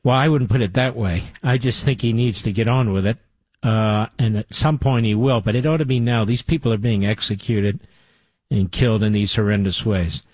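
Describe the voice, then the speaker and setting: A man's voice: lowish voice, a little mellow, husky, no room sound. A man hosting a talk radio show and taking calls, emphatic and opinionated. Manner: emphatic, opinionated, rapid-fire.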